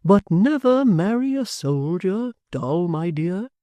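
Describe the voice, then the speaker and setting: Reader voicing kindly locksmith, middle-aged man, gentle, earnest, slow, warm tone. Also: sits high, very animated, dry recording, pitched above the performer's own voice, heavily acted.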